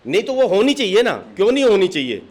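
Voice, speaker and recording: A man with a notably high voice speaks as a political official in an interview. He is argumentative and insistent, pressing his case with one rhetorical question after another. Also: big pitch swings, quick pace. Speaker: political party district official being interviewed. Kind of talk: impassioned interview answers.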